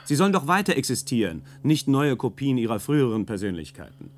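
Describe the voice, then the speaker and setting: An actor as a businesslike male official in a science-fiction radio play. His voice is of middle pitch. He is decisive and matter-of-fact. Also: very animated, fairly quick, natural voice.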